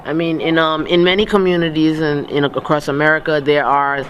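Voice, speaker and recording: A man with a fairly high voice answers interview questions as a radio broadcaster. He talks casually and emphatically, often dropping in asides. Some noise sits behind him. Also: fairly even intonation, a little fast, fairly bright tone, highs cut off. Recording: noticeable background noise.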